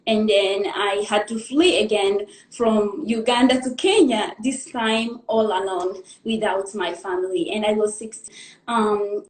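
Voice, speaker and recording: A young woman from the Democratic Republic of the Congo tells her life story as a guest in a live interview, in an earnest, halting way, pausing to gather her thoughts. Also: mid-range voice, steady tone, echoey room, low-quality recording. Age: young adult.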